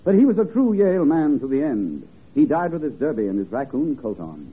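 An actor playing a bumbling, self-important detective in a 1950s radio comedy, formal and mock-solemn. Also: male voice, medium pitch, big pitch swings, a little fast, breathy edge. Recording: crackly old radio broadcast, narrow band, studio audience.

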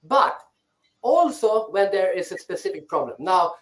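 A man's voice: high voice, big pitch swings, unhurried. A man from Sweden, a guest speaker answering interview questions thoughtfully and often restarting his words. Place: rooftop of his island house. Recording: echoey online audio.

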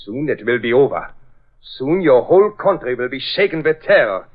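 A man's voice: medium pitch, very animated, normal speed. A man playing a menacing Nazi saboteur ringleader with mocking, pompous, formal speech in a 1940s radio drama. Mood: smug, menacing. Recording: tinny vintage radio recording.

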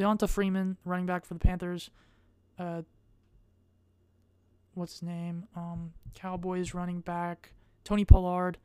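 A young adult woman vlogging in a casual, rambling way. She speaks in a monotone and hesitates often, with many fillers. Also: lowish voice, clean tone, dry recording.

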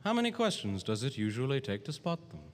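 A man's voice: medium pitch, sing-song, quick pace, close to an everyday voice. Man playing an imperious older tycoon in a reverberant hall. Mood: smug, silky. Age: 60s. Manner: formal, commanding, silky. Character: urbane, imperious older tycoon scientist in his sixties. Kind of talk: theatrical 1940s radio acting. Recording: reverberant hall, faint noise, live recording.

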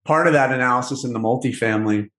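A man's voice: medium pitch, fast talker, fairly bright tone. Man in a roomy recording. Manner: loose, filler-heavy, "you know". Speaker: podcast guest, real estate investor. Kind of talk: casual explanatory conversation.

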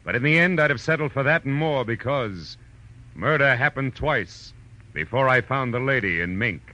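A man's voice: mid-range voice, lively intonation, normal speed, pushed and bright, slight background noise. An actor playing a world-weary, wry male private detective in a 1940s radio drama, speaking laconically and narrating his case. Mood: brooding. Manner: laconic, wry, tender with women. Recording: muffled vintage radio recording with music.

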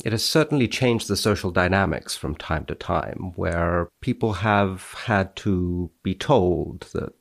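A man's voice: low-pitched, a little slow, creaky, hardly any pauses. A man, a researcher appearing as a podcast guest, reading studies dramatically and chatting about them.

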